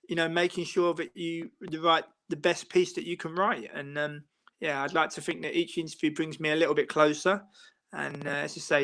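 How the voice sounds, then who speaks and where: A man's voice: a little high, a bit bright. A man, a football interviewer, talking at length in a casual chat, full of fillers and restarts. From England. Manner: long rambling answers with many fillers and restarts. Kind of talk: casual chat on a live stream.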